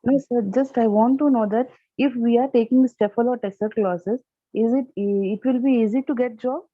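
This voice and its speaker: A woman's voice: a little high, fairly even intonation, normal speed, dry recording. Woman asking questions on a tinny call. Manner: polite, asking short questions. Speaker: prospective student. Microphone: video call. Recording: tinny phone-like call line.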